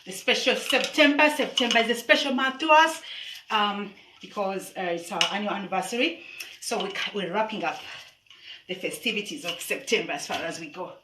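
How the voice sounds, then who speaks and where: A woman's voice: mid-range voice, recorded from a distance. Chatty woman host in an echoey room. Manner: chatty, hesitant with many fillers. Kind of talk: casual livestream music show. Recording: echoey room, off-mic, faint noise.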